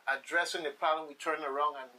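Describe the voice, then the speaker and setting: A man's voice: fairly high pitch, steady tone, quick pace, pushed and bright, recorded from a distance. A man hosting a radio panel discussion, conversational and repeating phrases for emphasis. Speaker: radio talk show host.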